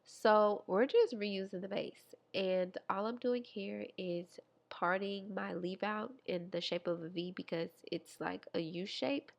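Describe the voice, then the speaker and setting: A woman's voice: medium pitch, gravelly. Congested young woman vlogger. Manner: chatty, friendly, talks to viewers. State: congested, nasal. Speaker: beauty vlogger. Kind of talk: casual hair tutorial voice-over.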